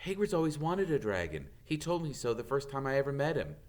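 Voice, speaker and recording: A man's voice: medium pitch, sing-song, quick pace, voice pushed up, natural voice. A man voicing an earnest, polite and inquisitive young schoolboy in a storybook.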